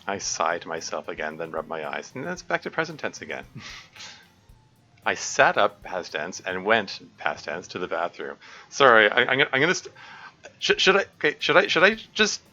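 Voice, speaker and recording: A man's voice: deep voice, wildly swooping pitch, moderate pace, pushed and bright. A man reading a visual novel aloud on a live stream, often stopping to correct himself and laugh. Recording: dull lo-fi stream audio, faint music.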